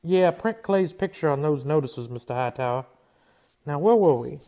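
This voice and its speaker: An actor playing a stern frontier marshal with a dry, deadpan manner in a radio western. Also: male voice, a little high, normal speed. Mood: businesslike. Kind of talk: old-time radio western acting.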